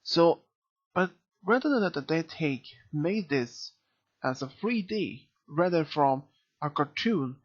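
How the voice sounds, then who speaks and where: Slow, halting reviewer with lively pitch swings. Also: slightly rough. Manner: halting, searching for words. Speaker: video reviewer. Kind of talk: unscripted review commentary. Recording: dull, lo-fi mic sound.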